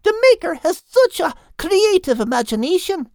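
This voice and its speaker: A man pushes his voice far up for a gentle female seagull, affectionate and lilting, with quaint old-fashioned speech. It sounds dry and close. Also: extremely high pitch, very animated, normal speed, creaky, no echo, pitched above the performer's own voice, full character voice. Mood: fond. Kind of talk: audiobook character voice.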